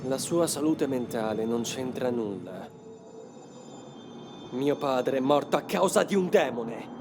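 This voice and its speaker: A man acts as an intense young man with secrets, from a dubbed anime motion comic. His mid-range voice is cool, solemn and used to giving orders. Music plays clearly behind him. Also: medium pitch, expressive, unhurried. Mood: grave. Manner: solemn, commanding, dramatic.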